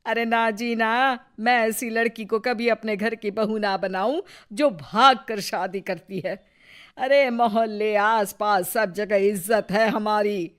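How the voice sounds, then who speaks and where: A middle-aged mother-in-law in an animated family story, voiced by a woman. She is proud and distrustful. Her delivery is slow, emphatic and indignant, in a medium-pitched voice. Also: lightly acted. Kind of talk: animated Hindi family drama acting.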